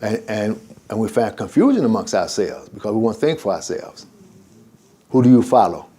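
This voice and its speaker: An older man leads an informal religious class as imam. His pitch swings widely and he talks loosely, drifting between points and slipping into quick back-and-forth exchanges he plays out himself. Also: slightly rough. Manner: animated and digressive, acts out conversations.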